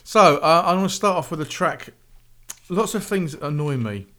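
A man's voice: on the high side, expressive, normal speed, pushed and bright. A man hosting a podcast in a casual, rambling way. He has a slight cold and sore throat, and he grumbles and mocks himself as he chats. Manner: chatty, rambling and grumbly with self-mocking asides. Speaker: podcast host. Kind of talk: casual podcast monologue introducing music.

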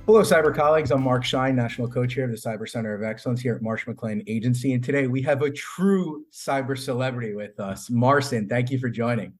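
Male podcast host, husky mid-pitched voice, introducing and interviewing. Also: fast talker, few pauses, soft background music.

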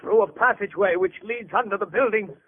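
An actor playing a deranged, grandiose would-be healer in a theatrical, declamatory 1940s radio drama style, on a tinny old recording. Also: male voice, high voice, fairly quick, slight background noise, close to an everyday voice. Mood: despairing.

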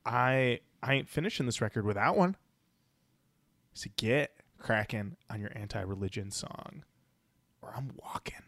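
A man acts out an intense, self-important male record producer in a comic studio skit. He is blunt and demanding, with pitch that moves a lot, recorded dry. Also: animated, a little slow, creaky, fairly bright tone, no room sound. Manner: blunt, pushy.

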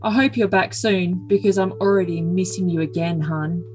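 A woman's voice: fairly low pitch, normal speed, a little mellow, natural voice. A woman voicing an earnest, besotted young man with a solemn, stilted manner in an overly dramatic comic message reading. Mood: besotted, suggestive. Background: music.

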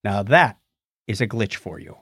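A man chats casually as a podcast host in an animated voice that moves freely up and down. The recording is dry and close, and his delivery is wry and jokey, with a techy bent. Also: fairly low pitch, unhurried, slightly rough, no echo.

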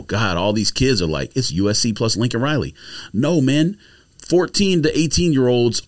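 A man co-hosting a sports podcast, arguing and explaining his points in a casual, interjecting way. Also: medium pitch.

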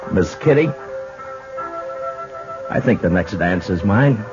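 An actor playing a gruff, protective frontier marshal in a 1950s radio Western, terse and halting, stubborn but tender. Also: male voice, low-pitched, expressive, music in the background. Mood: commanding. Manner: terse, halting, plain-spoken. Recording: dull, muffled 1950s radio recording.

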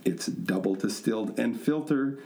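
A man's voice: on the low side, normal speed, mellow, a little hiss or hum. A man hosting a podcast in a casual, chatty way, with a joking, self-mocking manner. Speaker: podcast host. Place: echoey indoor studio room. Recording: echoey room sound.

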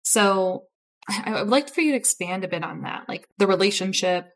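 A woman's voice: mid-range voice, expressive, normal speed. A woman hosting a podcast, asking casual interview questions with lots of "like" and restarts. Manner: informal, heavy on "like" and restarts. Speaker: podcast host.

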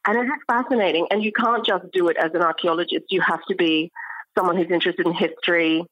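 A middle-aged woman speaking as an academic interview guest, explaining things in an articulate, measured way. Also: on the low side, steady tone, fairly bright tone, no echo, dull audio. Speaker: interview guest, archaeology professor. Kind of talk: thoughtful academic interview talk.

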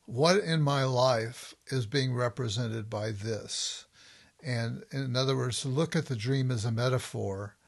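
A man hosting an interview, talking in a thoughtful, halting way with frequent restarts. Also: medium pitch, creaky. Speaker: podcast host. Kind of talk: conversational interview.

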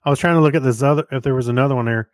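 A man's voice: mid-range voice, rapid-fire, no room sound, studio quality. A man in casual conversation as a podcast co-host, tentatively thinking aloud. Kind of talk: casual chat.

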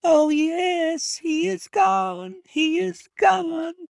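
A man's voice: flat delivery, drawn-out words, gravelly, no room sound, clean studio sound, full character voice. A man voicing a frail young housemaid who is on the edge of hysteria. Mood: hysterical. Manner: shrill and wild. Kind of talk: audiobook character voice.

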